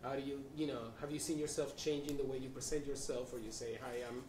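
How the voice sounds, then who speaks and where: A man's voice: medium pitch, fairly even intonation, fast talker, darkish tone, far from the mic. A man from Venezuela moderates a panel in a loose, casual way, laughing often and leaning on fillers. His voice sounds roomy and set back from the microphone, with a faint layer of background noise. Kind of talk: panel discussion.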